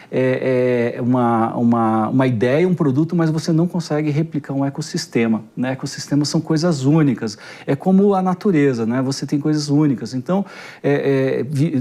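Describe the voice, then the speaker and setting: A man's voice: fairly high pitch, dark tone. A man with a São Paulo Brazilian accent, a guest answering interview questions in a thoughtful way, often repeating words as he searches for phrasing. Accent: São Paulo Portuguese. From Guarulhos, Brazil. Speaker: guest, innovation executive.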